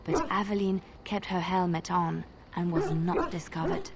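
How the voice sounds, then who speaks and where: An actress playing a gentle young woman bard in a video game, telling tales in a measured, expressive way. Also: lowish voice, very noisy, close to an everyday voice. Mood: earnest storytelling. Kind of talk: video game dialogue, fairy-tale storytelling.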